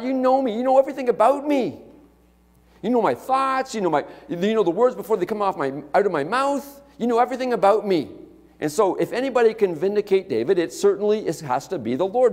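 A middle-aged man preaches in a church sanctuary, his fairly high voice carrying a long hall reverb. He talks in a loose, conversational way, often checking in with the congregation. It sounds like a headset mic picked up from the stage. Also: expressive, a little fast, darkish tone. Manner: conversational preaching with frequent "okay?" and "right?" asides. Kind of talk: sermon.

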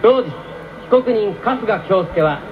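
High-pitched officious male prosecutor. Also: animated, measured pace, crowd noise. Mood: formal, officious. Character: formal, officious male prosecutor reading charges. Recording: muffled, noisy AM radio recording.

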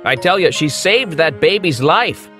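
A man voicing an admiring, emphatic middle-aged country doctor in a children's animated story. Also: a little high, measured pace, soft background music. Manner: emphatic, impressed.

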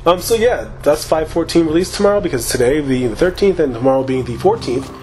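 A man giving loose, profane, rambling commentary over his own gameplay, his voice slightly hoarse after a long session. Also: a little high, animated, fast, darkish tone, faint music behind. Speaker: gaming YouTuber.

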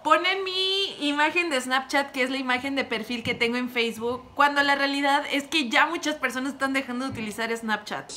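A woman's voice: sits high, brisk, hardly any pauses, sharp tone, a little hiss or hum. A young woman chatting casually and expressively to camera in a room at home, giving reaction commentary. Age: young adult. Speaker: video creator reacting to a prank call.

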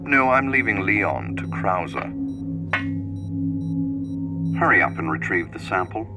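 An actor playing a cold, scheming spymaster villain in a video game, smug, measured and commanding. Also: male voice, deep voice, big pitch swings, loud music behind the voice, exaggerated voice. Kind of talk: video game cutscene acting.

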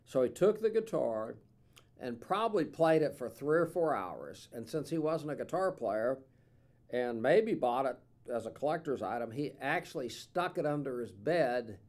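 A man in his sixties with a drawl talks through a guitar for sale in an easy, meandering way. He fills pauses with plenty of "uh"s and restarts. He is recorded seated in a small, dim studio on a stand mic. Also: mid-range voice. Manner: relaxed, rambling with many fillers. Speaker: instrument dealer. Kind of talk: casual product demo.

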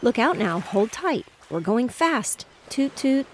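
A woman reading aloud, voicing an excitable, bossy young boy who plays ship captain. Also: on the high side, slow pace, a little hiss or hum, pitched above the performer's own voice. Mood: eager. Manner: eager and insistent.

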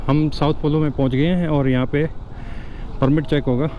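A man in his thirties vlogging on a motorcycle ride up a mountain road, chatty and excited, a little short of breath from the altitude. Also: on the high side, moderate pace, highs cut off. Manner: chatty, excited, points out views. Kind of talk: casual travel vlog narration. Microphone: helmet or body camera while riding. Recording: loud wind and engine noise.

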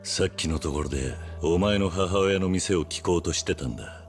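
A man acting a stoic, guarded but protective yakuza in a Japanese video game drama, speaking in a terse, gruff, blunt way. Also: sits low, normal speed, soft background music. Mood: grave.

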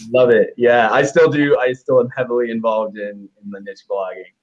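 A man's voice: mid-range voice, fairly even intonation, normal speed. Man hosting over muffled call audio. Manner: easygoing, hesitant "uh" fillers, frequent backchannel. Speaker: host. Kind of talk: live interview hosting. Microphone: video call.

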